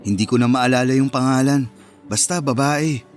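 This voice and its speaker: An actor playing an earnest, romantic young boyfriend in a Filipino radio romance drama, talking in a sincere, conversational way. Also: male voice, medium pitch, moderate pace, faint music behind, natural voice. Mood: casual, sincere.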